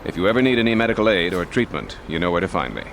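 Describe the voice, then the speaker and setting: An actor playing an earnest, kindly medic and shopkeeper in a video game. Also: male voice, low-pitched, sing-song, racing, noisy background.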